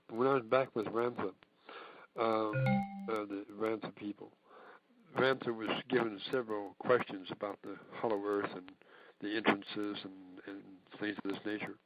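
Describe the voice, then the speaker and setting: A man speaking on a recorded conference call, talking haltingly with many fillers and restarts. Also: on the low side, muffled recording. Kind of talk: casual talk on a conference call.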